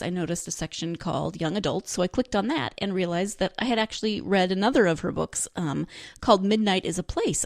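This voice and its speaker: A woman's voice: on the low side, dry recording, studio quality. A woman hosting a podcast, chatting enthusiastically about books in a giggly, rambling way full of asides. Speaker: podcast host. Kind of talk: chatty solo book review talk.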